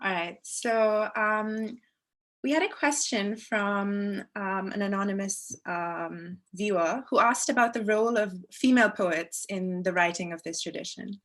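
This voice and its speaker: A woman's voice: mid-range voice, a little slow, a bit bright. Woman moderating a seminar. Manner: casual, hesitant fillers. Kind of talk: moderating questions.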